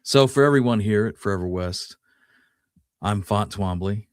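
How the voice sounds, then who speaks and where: A man with a fairly low voice, recorded close and dry, co-hosts a history show. He tells stories informally and conversationally, as if chatting with a friend. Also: normal speed, smooth, no echo. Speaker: podcast co-host. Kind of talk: casual conversational history storytelling.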